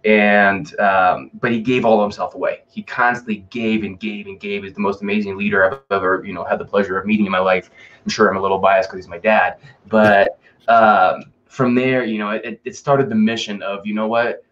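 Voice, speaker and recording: A lower-pitched man from Russia speaks as a podcast guest. He tells personal stories in an informal, emphatic way, peppered with buddy slang and quick check-ins. The recording is slightly muffled and lo-fi. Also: steady tone, fairly bright tone. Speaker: podcast guest, fitness and life coach. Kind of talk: casual, personal interview storytelling.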